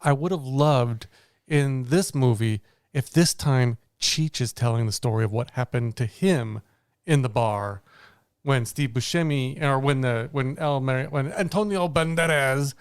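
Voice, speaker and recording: A man's voice: mid-range voice, unhurried, smooth, no echo, studio quality. A man co-hosting a movie review podcast in casual chat, rambling and correcting himself as he goes. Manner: rambling, self-correcting, laughs easily. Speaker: podcast co-host.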